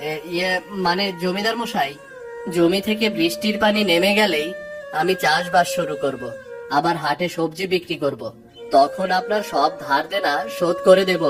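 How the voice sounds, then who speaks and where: A man's voice: high voice, normal speed, music in the background, close to an everyday voice. A man voicing a poor, humble village father in a Bengali moral cartoon, pleading and deferential.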